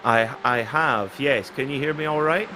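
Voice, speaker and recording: A man's voice: mid-range voice, moderate pace. A man co-commentating on a sim race in a chatty, polite way with laughing asides. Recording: noisy, slightly distant line.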